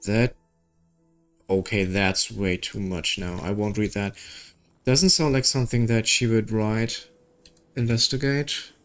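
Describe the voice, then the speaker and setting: A gaming streamer with a mid-pitched voice talks casually through a playthrough, music faintly behind. The delivery drifts between muttered reactions and reading on-screen text aloud, sometimes trailing off. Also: steady tone, unhurried, long pauses.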